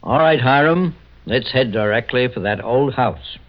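A man acting a polite, inquisitive American gentleman investigator. He is composed and formal, with a medium pitch that moves expressively. The sound is a muffled vintage radio drama. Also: animated, measured pace, background noise, close to an everyday voice. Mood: composed, considerate. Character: courteous, composed, curious male private investigator. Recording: muffled old radio recording.

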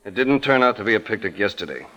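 An actor playing a laconic, wry police detective with clipped, understated delivery, in old radio drama style. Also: male voice, fairly low pitch, sing-song, fast, bright, forceful tone, full character voice, poor audio. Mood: grave. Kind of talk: 1940s-50s radio drama acting.

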